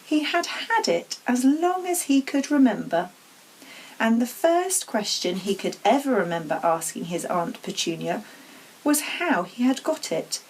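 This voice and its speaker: A woman reading a children's storybook aloud as an expressive narrator. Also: on the high side, a little slow, slight background noise, rough recording. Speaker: story reader.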